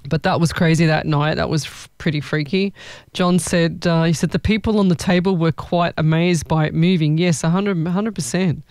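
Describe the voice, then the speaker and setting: Aussie guy, psychic medium host, high clear voice, dry close mic. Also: normal speed, few pauses, dry recording. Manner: chatty, rambling, excitable. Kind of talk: live-stream reading chat.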